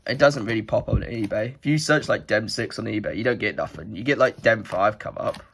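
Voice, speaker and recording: A man's voice: lowish voice, a little fast, a bit bright, slight background noise. A young man with a head cold that makes him sound nasal, rambling casually through his collection with lots of filler words. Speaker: card collector vlogger. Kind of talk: casual rambling show-and-tell.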